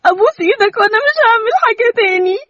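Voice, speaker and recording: Brief: woman playing a frantic young Egyptian wife and mother, husky, high and teary, fast talker, dull dry storybook recording. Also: very animated, dry recording. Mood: sobbing. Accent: Egyptian Arabic. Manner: high, crying, breaking voice. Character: young mother, anxious, tearful, pleading wife.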